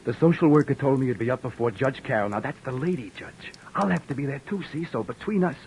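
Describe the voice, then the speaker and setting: A man playing a gruff but decent beat cop who talks in streetwise slang and narrates his story, in 1940s radio acting style. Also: mid-range voice, animated, fast talker, darkish tone, slight background noise, natural voice, poor audio. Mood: gruff kind. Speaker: police officer and narrator.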